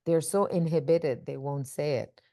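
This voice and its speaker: A middle-aged woman from India with an Indian accent, telling her life story casually in an interview and filling pauses with "you know". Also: sits low, steady tone, mellow. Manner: chatty, leans on "you know" fillers, laughs easily. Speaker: oral history interviewee.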